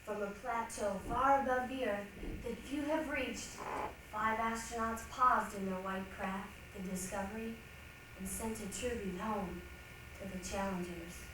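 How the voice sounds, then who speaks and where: A woman giving a theatrical, deliberate dramatic narration as a readers' theatre performer. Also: medium pitch, steady tone. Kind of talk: dramatic recited stage narration. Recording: very echoey hall, distant mic, faint noise.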